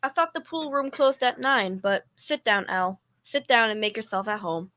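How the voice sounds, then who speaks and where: Radio comedy straight woman female performer: young, dry, exasperated, slightly high and bright. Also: moderate pace. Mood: dry, sarcastic. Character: sensible, sarcastic young woman, exasperated roommate. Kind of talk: 1940s radio comedy acting.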